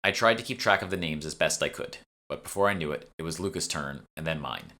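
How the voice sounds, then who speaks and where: Male narrator, low-pitched with a crisp forward edge, reading fantasy audiobook prose up close. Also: quick pace, sharp tone. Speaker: author reading own audiobook. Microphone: close USB desktop microphone.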